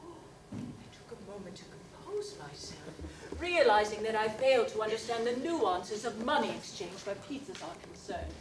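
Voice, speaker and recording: A woman's voice: fairly high pitch, expressive, moderate pace, a crowd in the background, lightly acted. A woman playing a giggly, prim elderly widow. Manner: genteel, mannered, breaks into frequent laughter. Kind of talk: comic character monologue. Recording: roomy, distant mic with background noise.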